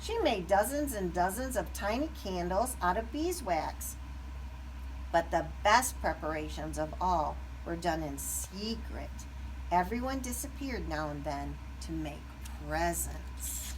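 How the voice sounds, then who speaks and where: A woman reads a children's storybook aloud at an unhurried pace. Her pitch moves expressively up and down, and there is some noise in the background. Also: distant mic, low-quality recording. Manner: expressive, deliberate phrasing. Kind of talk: scripted children's story narration.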